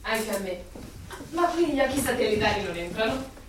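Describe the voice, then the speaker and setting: A woman's voice: medium pitch, lively intonation, normal speed, close to an everyday voice. An actress playing a bold, defiant young woman in a radio play, with a direct and firm way of speaking. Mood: tender. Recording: very echoey room, distant mic, faint noise.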